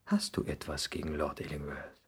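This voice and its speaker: An actor playing an ambitious, hot-headed young son, idealistic and argumentative, in a 1960s German radio drama. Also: male voice, extremely low pitch, flat delivery, moderate pace, slightly rough, exaggerated voice. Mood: defiant, impassioned. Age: young adult. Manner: impassioned, argumentative.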